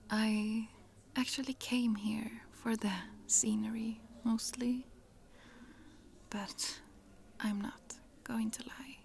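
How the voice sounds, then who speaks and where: A woman voicing a friendly, chatty young Swedish traveler with a Swedish accent, in a soft, giggly whisper for an ASMR roleplay. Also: a little high, vocal fry.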